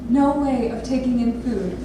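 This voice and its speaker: A woman's voice: fairly high pitch, normal speed, close to an everyday voice. An actress playing an earnest, intense grown daughter of an abusive father in a staged play reading. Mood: reflective, earnest. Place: theatre lab room with an audience area. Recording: very echoey room, distant mic, noisy.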